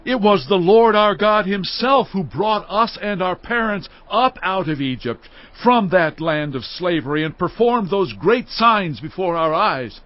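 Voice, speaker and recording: An Israelite answering for the people in a scripture drama. The voice is high and very slow, fervently declaiming with drawn-out endings. The sound is somewhat dull. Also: male voice, expressive. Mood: fervent, resolute. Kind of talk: dramatized audio Bible acting.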